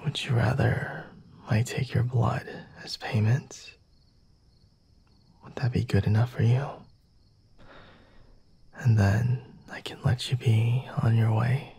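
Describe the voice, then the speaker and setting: A man voicing a flirty, condescending vampire in an ASMR roleplay, speaking deliberately in a husky half-whisper with sighs and hums. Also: mid-range voice, flat delivery, dark tone, exaggerated voice. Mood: amused, resigned. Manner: slow, deliberate, formal wording with sighs and hums. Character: flirty, condescending, merciful male vampire, self-assured and teasing.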